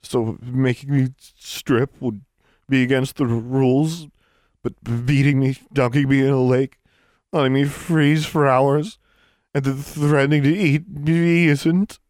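A man's voice: mid-range voice, steady tone, takes it slowly, a little mellow, no room sound, pitched above the performer's own voice, natural voice. A man voicing a cold, shivering teenage girl prisoner in an audiobook, stammering and indignant. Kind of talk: audiobook character voice.

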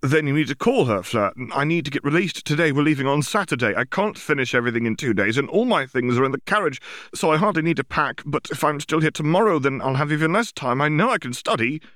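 An anxious, stubborn schoolgirl in her teens, as a male narrator puts her on for an audiobook. The voice is pushed up in pitch and rushes along with urgent pleading. The sound is close and dry. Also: animated, a bit bright, dry recording. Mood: frantic. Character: anxious, driven teenage schoolgirl, frantic and stubborn. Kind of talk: audiobook character voice.

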